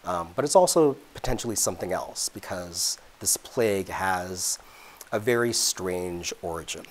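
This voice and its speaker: A man reads from his own novel at a public event. His voice is very deep, and he takes the prose at a measured, deliberate pace. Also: lively intonation. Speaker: author reading his novel. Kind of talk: reading aloud from a novel.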